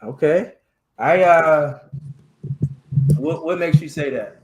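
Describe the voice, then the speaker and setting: A man hosting a casual chat about sports and faith, talking in halting bursts with many fillers and restarts. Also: a little high, darkish tone, poor audio. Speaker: podcast host.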